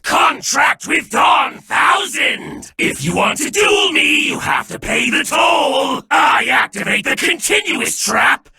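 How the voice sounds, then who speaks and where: Cruel theatrical anime-game villain, gravelly edge, swooping pitch, gloating, menacing, arrogant, shouted drawn-out lines. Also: male voice, big pitch swings, recorded from a distance, some chatter behind, heavily acted. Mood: battle fervor. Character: sadistic, arrogant, theatrical male villain duelist. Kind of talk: animated game battle voice lines.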